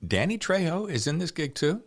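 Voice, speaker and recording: A man's voice: on the high side, very animated, fairly quick. A man hosting a podcast in a casual, chatty interviewing style. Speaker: podcast host.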